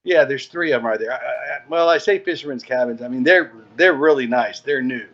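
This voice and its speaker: Muffled lo-fi sound, regular pitched guy being interviewed, laid back and plainspoken. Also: a little fast, fairly bright tone. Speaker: podcast guest, marina director. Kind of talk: casual interview chat. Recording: dull, lo-fi call audio.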